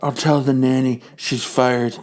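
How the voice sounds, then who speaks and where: An exasperated married woman in a comic book reading, acted by a podcaster. She speaks low and dryly, handing out nagging instructions with bossy impatience. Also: level tone, a little slow, a little mellow, close to an everyday voice.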